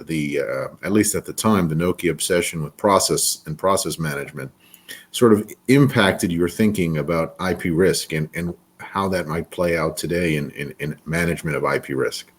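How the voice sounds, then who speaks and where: A man with a fairly low voice hosts an interview podcast. He talks loosely, circling back and restarting his sentences as he goes. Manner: rambling, restarts often. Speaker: podcast host, attorney.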